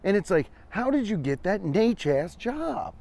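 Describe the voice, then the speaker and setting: A man's voice: sits high, sing-song, moderate pace, vocal fry, darkish tone. An American man, around his forties, chatting casually and irreverently as he hosts a rambling comedic walking vlog, swearing freely. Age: 40s. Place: outdoors, walking on a trail.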